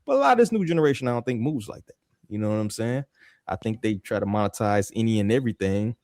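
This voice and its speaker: Animated New man, casual talk. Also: fairly low pitch, quick pace, no echo, clean studio sound. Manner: animated, street slang, frequent "you feel me". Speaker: podcast host. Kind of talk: casual chat.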